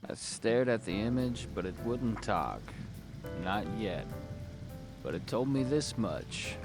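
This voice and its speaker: An actor playing a world-weary, hard-boiled private detective, narrating a noir audio drama in a wry, brooding, gravelly voice. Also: male voice, on the low side, lively intonation, slow pace. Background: music.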